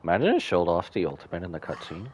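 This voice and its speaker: A man's voice: sits low, wildly swooping pitch, quick pace, darkish tone, a little hiss or hum. A man streaming a video game, giving casual live commentary as he plays and reacts.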